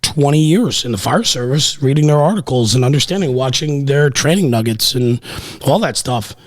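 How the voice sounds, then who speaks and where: A man's voice: medium pitch, animated, moderate pace. A middle-aged man talking casual shop on a podcast, emphatic and salty, often repeating himself for weight. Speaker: podcast host and firefighter. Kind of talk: casual, animated shop talk. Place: small podcast room indoors at a table. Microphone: podcast microphone on a stand.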